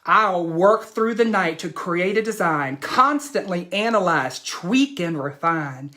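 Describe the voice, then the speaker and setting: A woman's voice: lowish voice, animated, slow pace, sharp tone, exaggerated voice. A woman voicing an imaginative, proud boy from a storybook, showing off his dream in an emphatic, showman-like read-aloud. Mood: proud, excited.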